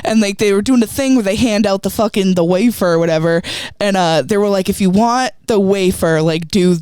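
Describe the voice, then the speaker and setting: A woman's voice: mid-range voice, fairly quick, a little mellow, smooth, dry recording. A woman comedian guest bantering crudely, full of swearing and filler words. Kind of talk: casual comedic chat.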